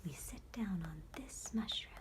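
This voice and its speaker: A woman voicing contented, routine-bound butterflies in a measured whisper for an ASMR bedtime storybook. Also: on the low side, lightly acted. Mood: placid, content. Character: contented, set-in-their-ways butterflies, gentle and routine-bound.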